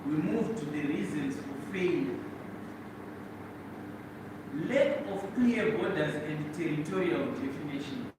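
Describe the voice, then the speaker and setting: A man with a Zimbabwean accent giving a formal prepared speech at a forum, deliberate and emphatic, repeating phrases for weight. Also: fairly high pitch. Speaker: speaker at a public forum. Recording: very echoey, distant mic, loud background noise.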